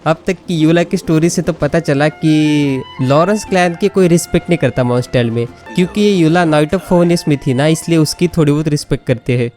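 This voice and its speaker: A woman's voice: low-pitched, rapid-fire, few pauses, mellow, faint music behind. A woman with an Indian accent, a native Hindi speaker, giving casual gameplay commentary and mixing in English. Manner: informal, switches between Hindi and English. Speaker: gaming channel host.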